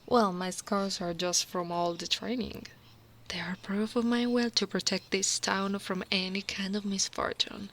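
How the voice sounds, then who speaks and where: A tall female orc royal guard, played by a woman from Italy, devoted and tender. She takes her time over her words in a medium-pitched voice, soft and intimate, in the manner of an ASMR roleplay. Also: fairly even intonation. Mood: soft, confiding. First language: Italian. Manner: slow, intimate, often dropping to a whisper. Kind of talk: ASMR roleplay, spoken softly to the listener.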